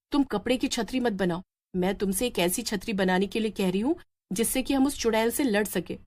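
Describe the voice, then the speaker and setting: A woman's voice: a little high, a little mellow. A woman voicing a bold, protective village mother in a story, speaking earnestly and insistently.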